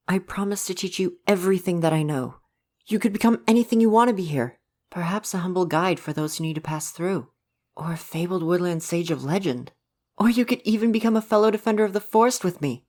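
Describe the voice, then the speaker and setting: A man voicing a gentle, shy, curious forest spirit guardian in an intimate ASMR roleplay, speaking in careful, formal, hesitant phrases. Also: a little high, natural voice. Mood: eager, tender.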